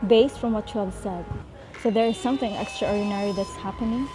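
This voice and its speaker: A woman's voice: medium pitch, a little fast, over music, natural voice. A woman voicing a stern, impatient investigator who questions students in a firm, businesslike way in a student radio drama.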